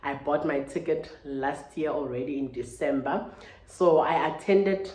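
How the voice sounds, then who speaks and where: A woman's voice: low-pitched, unhurried, darkish tone. A woman in her thirties giving a casual, earnest motivational talk, often adding "right?" and "you know". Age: 30s. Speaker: vlogger. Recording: roomy echo.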